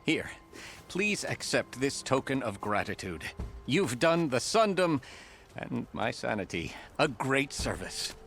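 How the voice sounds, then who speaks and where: Slow-spoken, courteous, wry official. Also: male voice, medium pitch, very animated, gravelly, fairly bright tone, soft background music, exaggerated voice. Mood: courteous, relieved. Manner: formal, ornate phrasing. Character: smooth, courteous, wry male official, formal and self-possessed. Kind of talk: video game cutscene acting.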